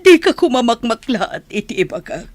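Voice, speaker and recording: A woman's voice: a little high, very animated, normal speed, darkish tone, dry recording. An actress playing a distraught mother pleading with her grown son in a radio drama. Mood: anguished, crying out. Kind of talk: radio drama acting in Ilocano.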